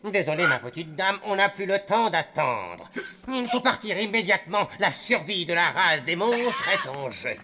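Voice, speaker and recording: A woman's voice: lowish voice, very animated, normal speed, bright, forceful tone, a little hiss or hum. A woman voicing a stern, curt male doctor giving orders in a cartoon. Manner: curt, official.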